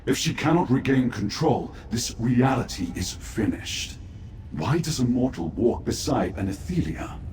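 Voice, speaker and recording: An actor playing a despairing but loyal servant of a fallen ruler in a fantasy video game, speaking gravely and formally with urgency. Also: male voice, medium pitch, sing-song, unhurried. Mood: urgent, despairing. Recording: echoey room, faint background noise.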